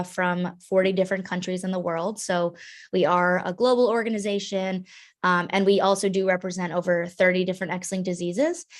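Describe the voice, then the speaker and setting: Young adult woman, slightly low voice, earnest conversational webinar speaker. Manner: earnest, conversational, frequent fillers. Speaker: carrier advocate, organization founder. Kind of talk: webinar talk.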